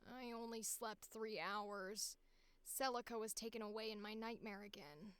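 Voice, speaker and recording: A woman playing an exhausted, grieving young character, speaking in a weary, halting way in a dramatic anime-style audition. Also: medium pitch, fairly bright tone, clear, resonant tone, no room sound, close to an everyday voice. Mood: weary, pained. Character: exhausted, grieving young friend haunted by nightmares.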